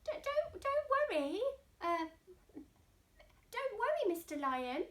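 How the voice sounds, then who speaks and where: A woman's voice: very high voice, sing-song, slow pace, pitched above the performer's own voice, heavily acted. A woman voicing a small, polite male mouse from a picture book, nervous and hesitant but eager. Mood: reassuring, nervous. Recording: echoey room.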